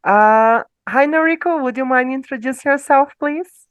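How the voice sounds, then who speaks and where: A woman teacher with a fairly high, smooth, resonant voice hosts an online speaking club over a video call. She sounds upbeat and welcoming as she invites each person to speak. The sound is dry and close. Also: measured pace, dry recording, lo-fi sound. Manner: upbeat, welcoming, calls on each participant by name. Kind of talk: friendly hosting on a video call.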